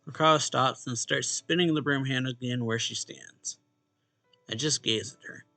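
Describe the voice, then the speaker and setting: A man's voice: medium pitch, moderate pace, sharp tone. A man reading a visual novel's narration and dialogue aloud expressively, as a let's play host. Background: game music.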